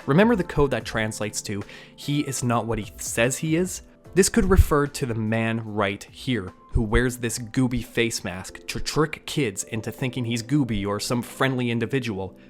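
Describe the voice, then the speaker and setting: A man narrates a scripted video breakdown as the host, in a medium-pitched voice. His delivery is that of an online narrator who mixes prepared commentary with casual asides. Music plays underneath his voice. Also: normal speed.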